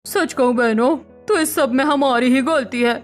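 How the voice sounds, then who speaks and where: A woman acts out a middle-aged mother-in-law in a cartoon family drama. She is worried and lamenting, with a high voice and faint music underneath. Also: unhurried. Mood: remorseful. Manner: fretful, lamenting. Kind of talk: Hindi cartoon family melodrama acting.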